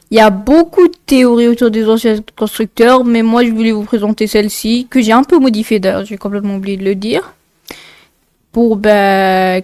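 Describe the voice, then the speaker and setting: Female video narrator, informal and digressive, clear tone but nasally congested, recorded close and dry. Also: mid-range voice, a little mellow, no echo. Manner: informal, rambling, often digressing. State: congested, blocked nose. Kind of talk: casual explainer narration with asides.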